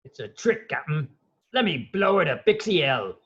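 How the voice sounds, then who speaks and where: A man's voice: on the high side, big pitch swings, moderate pace, fairly bright tone, lo-fi sound. A man voicing an eager, servile pirate henchman, scheming and loyal to his captain, in a script read-through. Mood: urgent scheming.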